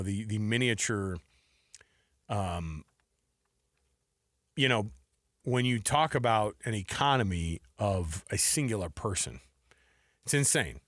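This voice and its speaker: A man's voice: on the low side, fairly bright tone, dry recording. A man co-hosting a sports radio show, talking casually as he runs through lists, close on a studio microphone. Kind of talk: casual sports talk, running a list quiz.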